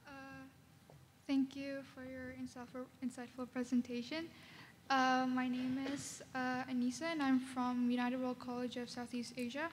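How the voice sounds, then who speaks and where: Young student girl asking a question, high voice, kinda flat, echoey hall w some room noise. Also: normal speed. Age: teens to young adult. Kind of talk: question from the floor. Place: large conference ballroom. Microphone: standing floor microphone.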